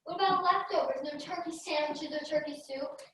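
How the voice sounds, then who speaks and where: A woman's voice: high-pitched, a little fast, fairly bright tone. A young girl reading scripted lines in a readers theater play. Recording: very echoey room, distant mic, hiss.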